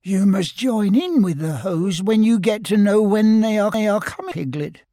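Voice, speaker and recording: A man voicing a kindly, simple toy bear in a storybook, slow, earnest and sing-song. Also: high voice, mellow, no room sound, studio quality, voice pushed up, lightly acted. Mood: cheerful, singing. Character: kindly, simple male toy bear singing rambling hums. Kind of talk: storybook narration with character voices.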